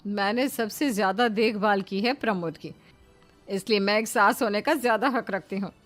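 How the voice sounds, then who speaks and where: A woman's voice: medium pitch, moderate pace, faint music behind, natural voice. A woman voicing a bossy, possessive older mother-in-law in a cartoon, emphatic, coaxing and imperious. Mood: indignant.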